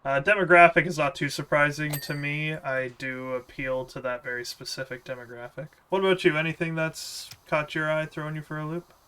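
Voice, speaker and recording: A man's voice: a little high, little pitch movement, a little fast, hardly any pauses, bright, forceful tone, clear, resonant tone. A man streaming gameplay, chatting casually and answering viewer questions in a laid-back, rambling way. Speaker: gaming streamer.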